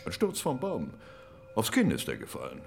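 A man's voice: on the low side, huge pitch swings, moderate pace, vocal fry, a little hiss or hum, full character voice. An actor in a German radio drama playing a blunt village man who talks in clipped fragments. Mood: matter-of-fact. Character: blunt village man recounting an old accident.

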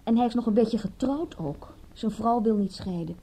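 Very mellow, flippant young woman. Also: a little high, moderate pace, slightly rough, poor audio. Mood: flippant, defensive. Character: flippant, defensive young woman, adopted at twenty-one. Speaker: actress in a radio play. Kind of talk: radio drama acting.

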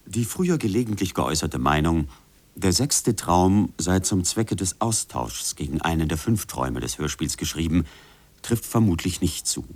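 Quotation reader: man, deep, formal, scripted, no room sound. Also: animated, moderate pace, a little mellow, no echo.

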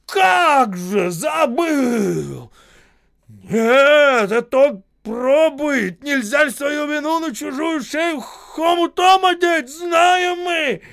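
A man voicing a hot-tempered workman in a story, yelling out short accusing questions. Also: extremely high pitch, expressive, drawn-out words, creaky, pitched above the performer's own voice, exaggerated voice. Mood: furious, accusing.

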